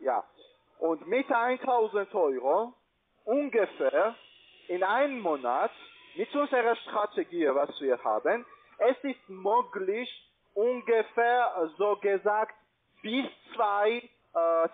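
A man with a foreign accent giving a pushy, repetitive phone sales pitch in halting non-native German. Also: lively intonation. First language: not German. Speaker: call centre investment salesman. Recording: tinny band-limited phone line.

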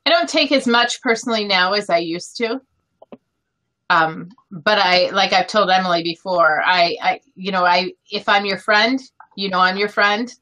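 A woman co-hosting a casual chat over a video call, quick to agree and speaking from the heart. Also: medium pitch, sharp tone, rough recording. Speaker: co-host.

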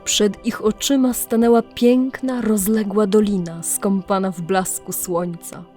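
A woman narrating a scripted audio drama legend in a measured, literary storytelling manner, with deliberate pauses. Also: medium pitch, fairly even intonation, warm tone. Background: music.

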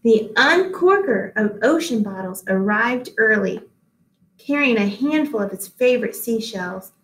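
A woman in her thirties reads a storybook to young listeners at home. Her voice sits somewhat high, with an expressive, careful storytelling delivery. The sound is clearly roomy, as in a small hard-walled office. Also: unhurried, a little hiss or hum. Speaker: read-aloud host, teacher. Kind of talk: picture book read-aloud with asides to children at home. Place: small indoor office-like room. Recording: echoey small room.

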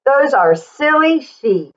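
Very slow, expressive woman reading to children. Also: high-pitched, muffled recording. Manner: expressive, deliberate, with drawn-out words and explanatory asides. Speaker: children's story reader and teacher. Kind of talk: read-aloud picture book storytelling for children.

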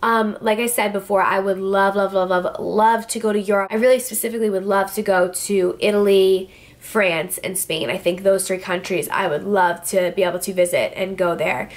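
A woman's voice: mid-range voice, fairly even intonation, normal speed. A twenty-year-old woman from New Jersey answering viewer questions in a casual vlog, talking to a close camera in a small room. Her talk is chatty and full of "like" and "I don't know". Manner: chatty, filler-heavy, lots of "like" and "I don't know". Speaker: beauty vlogger. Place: indoors, small room with clothes rack behind. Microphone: camera facing her at close range.

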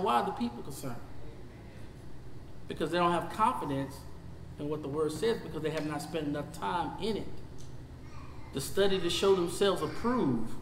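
Man, Southern US accent, pastor teaching Bible study, high bright voice, echoey church hall. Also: slight background noise. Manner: animated, swings from shouting to low talk. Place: church sanctuary, seated before a projection screen.

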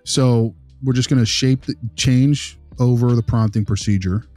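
A man's voice: mid-range voice, steady tone, normal speed, dark tone, no echo, faint music behind, natural voice. A man playing an easygoing behavior consultant, talking informally and reassuringly in a role-played meeting with a parent. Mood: explaining.

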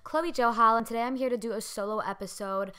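A young woman hosting her solo podcast, a little hoarse, chatting casually with lots of fillers and self-deprecating asides. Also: on the high side, fast, a bit bright. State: hoarse from screaming the day before. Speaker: podcast host.

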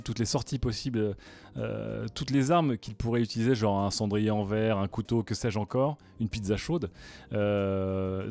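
A man playing a tabletop game, speaking in a measured, mock-tactical way into a headset mic. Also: on the low side, a little mellow. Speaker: player.

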